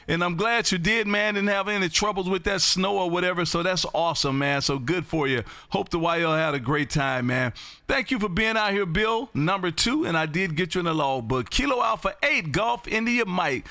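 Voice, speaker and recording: A loud man in his fifties from Texas calls out over the air in a high, bright, drawn-out sing-song. A close studio boom mic gives a dry, tight sound. He hosts a live amateur radio stream from his home radio room. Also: no room sound. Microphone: studio boom mic, headphones.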